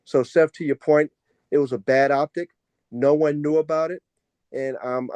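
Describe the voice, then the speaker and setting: An American man speaks at a board meeting in a relaxed, mid-pitched voice. His delivery is measured and formal, with points firmly emphasized, and the sound is dry. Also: no echo. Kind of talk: meeting remarks.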